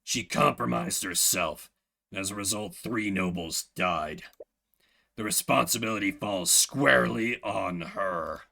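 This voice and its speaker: Man doing a low, haughty noble prosecutor. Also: gravelly, bright, forceful tone, exaggerated voice. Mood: stern condemning. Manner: measured, pompous, deliberate. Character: cold stern noble father and prosecutor, haughty, unyielding.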